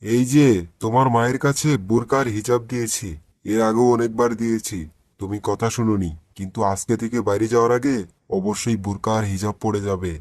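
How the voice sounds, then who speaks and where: A man voicing a pious, worried middle-aged father who gently pleads and admonishes in a cartoon. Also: lowish voice, steady tone, fairly quick, no room sound, studio quality, lightly acted. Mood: firm. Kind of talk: cartoon dialogue acting.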